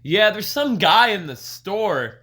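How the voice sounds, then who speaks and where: A young man in his twenties co-hosting a casual podcast, laid-back and swearing often, getting animated when he tells stories. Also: high voice, slow. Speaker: podcast co-host.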